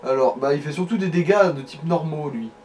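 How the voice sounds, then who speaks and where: A man's voice: on the high side, fairly quick, darkish tone, far from the mic. A man co-commentating a video game in a casual chat, offering tips and remarks to the player. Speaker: let's play co-commentator. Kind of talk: casual advisory gaming chat. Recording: faint background noise.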